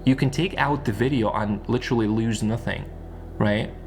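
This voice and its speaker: Low-pitched man explaining ideas casually. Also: normal speed, a little mellow, slight background noise. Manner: emphatic and repetitive, often seeking agreement with tag questions. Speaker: YouTube business and spirituality coach.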